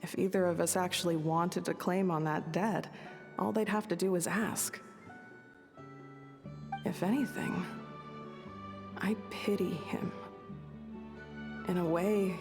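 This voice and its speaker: Wise resolute female chieftain, game drama, slow, tender, reflective, long reverb, music behind. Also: lowish voice. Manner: unhurried, gentle, reflective, firm. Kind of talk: dramatic video game acting.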